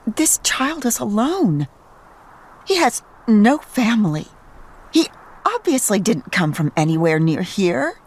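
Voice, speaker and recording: An actress playing a doting, gently firm young mother in an audio drama, speaking in a tender, earnest, cooing way. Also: mid-range voice, big pitch swings, slow pace, husky, full character voice. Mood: gently insistent.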